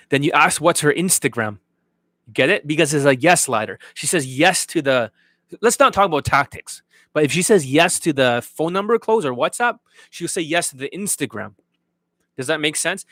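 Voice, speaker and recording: A man's voice: on the high side, fairly bright tone, dry recording, clean studio sound. A man coaching viewers on dating in a blunt, profane rant, often checking that his listeners follow. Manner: blunt, profane, repeatedly asks if viewers get it. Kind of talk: unscripted livestream rant to viewers.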